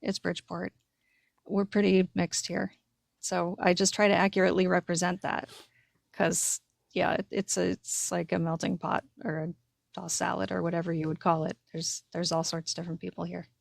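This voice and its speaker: A woman's voice: fairly low pitch, level tone, moderate pace, gravelly, no echo, studio quality. An American woman from Connecticut co-hosting a casual discussion, speaking informally and repeating her points for emphasis. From Connecticut, United States. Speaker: podcast co-host and writer.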